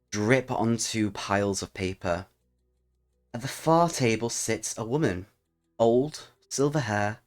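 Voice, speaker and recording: A man with a fairly low voice hosts a tabletop roleplaying channel. He speaks as a storyteller to his audience, with music playing softly behind him. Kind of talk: storytelling narration and casual channel talk.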